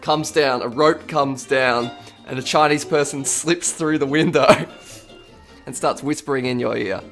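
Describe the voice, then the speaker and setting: A man's voice: mid-range voice, a little slow, a bit bright, faint music behind. A man co-hosting a casual podcast, rambling through absurd theories and laughing often. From Australia. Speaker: podcast co-host. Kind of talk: casual chat.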